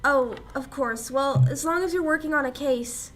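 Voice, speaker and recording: A woman playing an exasperated, sharp-tongued young sweetheart, brisk and sarcastic, in a 1940s-style radio play. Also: high-pitched, slight background noise. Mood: annoyed.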